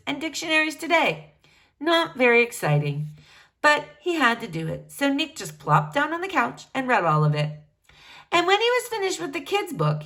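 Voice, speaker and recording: A teacher reading a chapter book aloud to young children, with an animated, expressive storytelling manner. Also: female voice, fairly high pitch. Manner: animated, expressive, singsong address to kids.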